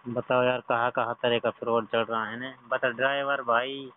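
A man's voice: mid-range voice, little pitch movement, normal speed, slight background noise. A man asking questions as a reporter in a casual phone interview. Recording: tinny phone call recording.

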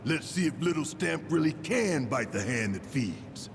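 An actor playing a big, brash, hot-tempered rebel leader in a video game, gruff, barking and taunting. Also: male voice, on the high side, animated, unhurried, in a large hall, a little hiss or hum. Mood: taunting challenge. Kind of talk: dramatic video game acting.

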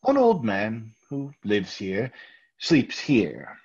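A man playing a nervous, overpolite murderer hiding his guilt, halting and husky, in old-time radio theater style. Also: fairly low pitch, wildly swooping pitch, heavily acted. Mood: smooth, evasive. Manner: halting, over-courteous, stammering. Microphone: video call. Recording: dull, lo-fi video call audio.

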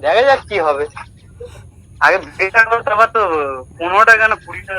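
A man's voice: sits high, brisk. A man chatting casually on a patchy phone call with heavy noise. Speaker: prank call target. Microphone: phone line on speaker.

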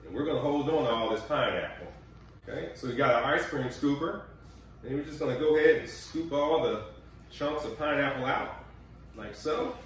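A man's voice: mid-range voice, expressive, moderate pace, a little hiss or hum. Man talking from far in an echoey kitchen. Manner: chatty, coaching, health tips. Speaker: cooking show host. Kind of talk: casual cooking demonstration. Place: home kitchen, indoors. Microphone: far camera mic.

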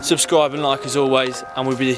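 A young man, vlogging casually as he explores an abandoned building, excitable and swearing freely. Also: mid-range voice, brisk. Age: young adult. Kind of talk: casual vlog narration while exploring. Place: abandoned building, indoors and out at night. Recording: music playing loudly behind.

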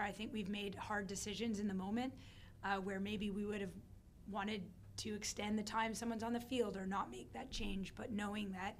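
A middle-aged woman speaks with a hoarse, scratchy voice, her throat worn out. She answers press questions in a measured, thoughtful way from behind a table mic. Her pitch sits in a middle range for a woman. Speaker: head coach. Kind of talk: postgame press conference answers. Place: press conference room, seated at a table. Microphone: desk microphone on a stand.

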